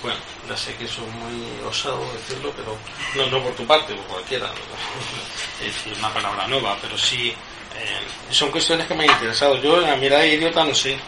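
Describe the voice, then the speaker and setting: A man's voice: mid-range voice, steady tone, creaky. Musing man talking about poetry. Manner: musing, halting, self-deprecating. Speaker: poet, interviewer. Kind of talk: literary conversation. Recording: echoey room, noisy background.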